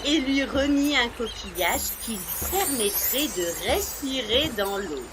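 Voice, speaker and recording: A woman narrating a scripted story for young children, expressive and carefully phrased. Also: high voice, a little slow, background noise. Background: music.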